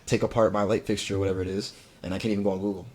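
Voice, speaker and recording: A young man talks fast in a loose, slangy podcast chat. His voice sits low and a little husky. He rambles and fills his sentences with fillers. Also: flat delivery. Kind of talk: casual chat.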